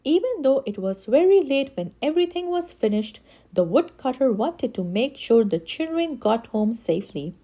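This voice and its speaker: A woman reading a children's story aloud in an expressive way, with animated, dramatic emphasis. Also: high-pitched, normal speed. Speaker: children's story reader and channel host.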